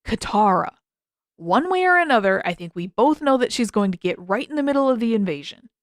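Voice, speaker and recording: A woman's voice: fairly high pitch, big pitch swings, fairly quick, dry recording. A woman narrator acts out a sarcastic teenage boy from an adventure story. He talks casually and with exasperated emphasis, blunt but protective underneath. The sound is very dry, with no room around it. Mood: reluctant, earnest.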